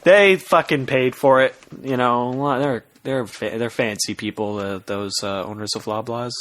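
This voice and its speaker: A man's voice: medium pitch, normal speed, a bit bright, a little hiss or hum. A young man chatting casually and laconically as a podcast co-host. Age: young adult. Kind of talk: casual chat.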